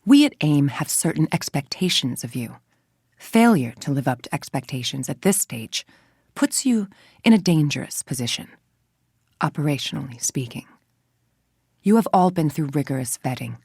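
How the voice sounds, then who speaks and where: A woman's voice: deep voice, very animated, moderate pace, a little mellow, breathy edge, dry recording, exaggerated voice. A haughty, menacing female scientist from a video game. She speaks with precise, condescending control and cold self-righteousness. The sound is very dry, with no room around the voice. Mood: clinical, stern. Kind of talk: video game voice acting, scripted dialogue and briefings.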